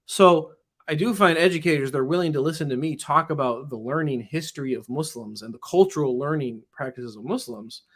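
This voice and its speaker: A man's voice: a little high, expressive, fairly quick. A man who teaches in public schools, talking earnestly in an interview and often restarting his sentences with "you know". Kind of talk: casual interview talk.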